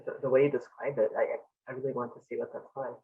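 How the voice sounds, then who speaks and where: A man hosts an author conversation, asking questions with stops and fillers. His voice is husky and heard from a distance. The sound is narrow and phone-like. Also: mid-range voice, flat delivery, fast. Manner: warm-hearted, hesitant, with fillers. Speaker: interviewer, library event host. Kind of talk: hosting a conversation.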